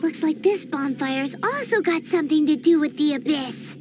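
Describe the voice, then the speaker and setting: Tiny squeaky, very high fairy companion. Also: female voice, normal speed. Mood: curious, perky. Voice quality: squeaky, childlike. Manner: excitable, speaks of self in third person. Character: tiny chirpy childlike female floating fairy companion. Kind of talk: scripted fantasy game voice acting. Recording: loud game music behind.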